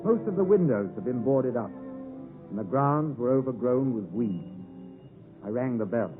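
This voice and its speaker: Reflective gentleman storyteller male actor, expressive mid-pitched voice, measured delivery, 1950s radio sound with music underneath. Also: close to an everyday voice. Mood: reflective, earnest. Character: grown-up Pip, reflective gentleman recalling his boyhood. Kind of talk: 1950s radio drama narration. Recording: tinny 1950s radio broadcast, music behind.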